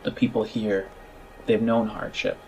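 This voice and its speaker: A man's voice: on the low side, flat delivery, unhurried, poor audio. A man speaking as a game master and podcast host, addressing the listener directly. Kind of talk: podcast announcement, then slow scripted scene narration. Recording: music under the voice.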